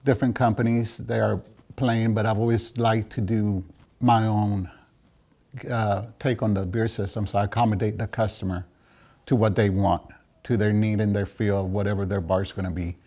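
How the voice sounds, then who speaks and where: A man from Mexico giving casual interview answers about his trade, often halting as he searches for words. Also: on the low side, little pitch movement, dark tone. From Juarez, Mexico. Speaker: interviewed draft beer system installer and business owner.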